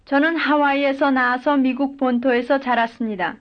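A woman's voice: high-pitched, steady tone, normal speed. A woman speaking full model sentences in a language drill, each one carefully and evenly delivered. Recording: dull lo-fi recording.

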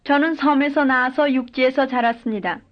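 A woman's voice: high voice, a little fast. A woman speaking full model sentences in a language drill, each one carefully and evenly delivered. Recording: dull lo-fi recording.

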